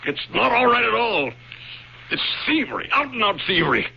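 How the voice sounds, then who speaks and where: A guilt-racked older man of letters in an old radio drama. His voice is high and gravelly, swinging widely as he repeats himself in agitated bursts. The recording is dull and lo-fi. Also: very animated, normal speed, heavily acted. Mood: tormented confession. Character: anguished, guilt-ridden older male author, broken by despair.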